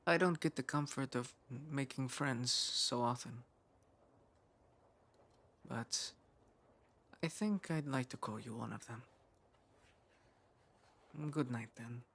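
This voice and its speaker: Male actor as frail, driven young scientist for an intimate ASMR roleplay; earnest, musing, measured, mid pitch. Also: gravelly, fairly bright tone, full character voice. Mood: quiet, tender.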